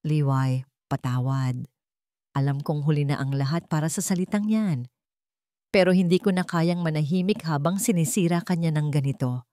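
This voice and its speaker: A woman's voice: deep voice, steady tone, moderate pace, warm tone, no room sound, clean studio sound, lightly acted. Woman voicing a remorseful, pleading ex-husband. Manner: pleading, apologetic. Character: remorseful adult man, guilty former husband. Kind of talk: dramatic audio storybook acting.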